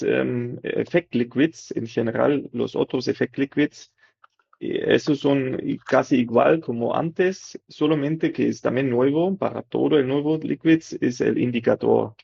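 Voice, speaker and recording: A man, a dental technician and developer, explaining a product in halting with many fillers and tag questions. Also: mid-range voice, fairly even intonation, vocal fry, a little mellow, husky, no room sound, lo-fi sound.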